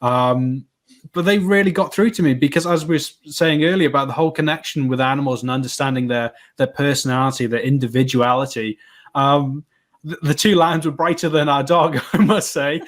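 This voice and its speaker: An easygoing man from Wales answers interview questions at a relaxed conversational pace. His pitch sits in an ordinary middle range, and he tells his stories with wry, self-deprecating humour. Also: brisk. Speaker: podcast guest.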